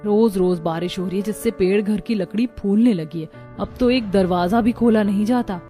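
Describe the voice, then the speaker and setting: A woman's voice: mid-range voice, normal speed, mellow, soft background music, lightly acted. A woman voicing a household woman in an acted family cartoon story. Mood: earnest. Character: women of a cartoon family, mother-in-law and daughter-in-law.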